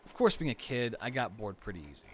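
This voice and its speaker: Stormy ambient noise sits behind a young boyfriend played by a man with a medium-pitched voice. He is easygoing and affectionate, spinning spooky stories in a loose, slangy, conversational way. He pauses to think, as in an intimate roleplay audio made for one listener. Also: animated, lightly acted. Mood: amused, animated. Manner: casual, slangy, hesitant pauses, storytelling aloud.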